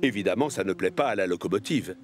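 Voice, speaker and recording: A man's voice: low-pitched, animated, fast talker. A man narrating a scripted children's cartoon story. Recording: music behind the voice.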